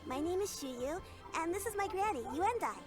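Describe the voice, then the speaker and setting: Casting: polite young girl for a game, very high, sweet voice. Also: animated, normal speed, music in the background. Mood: polite, grateful. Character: polite, sweet young girl looking after her granny. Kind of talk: video game voice acting.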